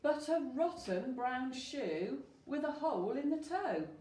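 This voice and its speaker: A woman reading a rhyming children's story aloud, expressive and putting questions to the listeners. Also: high voice, takes it slowly. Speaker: storyteller at a school. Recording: roomy, distant mic with faint noise.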